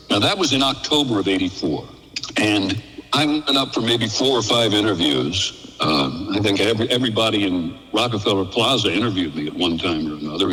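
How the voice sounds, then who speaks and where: An older American man with a bright, forward voice tells stories casually, as a radio veteran would in an interview. He is chatty and slips into quoted dialogue as he recounts things. The sound carries a long, hollow reverb. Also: on the low side. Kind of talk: casual anecdotal storytelling. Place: remote call into a live radio broadcast.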